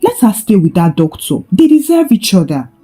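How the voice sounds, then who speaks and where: Woman acting a spiteful, smug sister-in-law, lively pitch. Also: normal speed, husky, faint music behind. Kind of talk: dramatised folktale storytelling.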